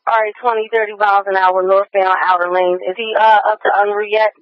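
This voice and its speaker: High-voiced male police radio dispatcher. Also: little pitch movement, fairly quick, no room sound. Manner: procedural, repetitive, clipped phrases. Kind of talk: live police pursuit dispatch, repeating locations. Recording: dull lo-fi police scanner radio.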